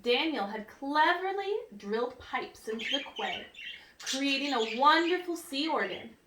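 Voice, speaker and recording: Female children's storyteller, fairly high voice, expressive and instructive, recorded in an echoey room. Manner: expressive, teacherly, pausing to ask and explain. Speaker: read-aloud storyteller for children. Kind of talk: picture book read-aloud with questions to young listeners. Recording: roomy, distant mic with faint noise.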